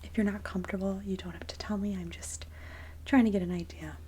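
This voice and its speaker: A woman speaking softly and breathily, often near a whisper, in a gentle, polite ASMR roleplay as a kindly Mrs. Claus. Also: mid-range voice, fairly even intonation, brisk, a little hiss or hum. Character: kindly Mrs. Claus interviewing a job applicant. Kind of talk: soft-spoken ASMR roleplay interview.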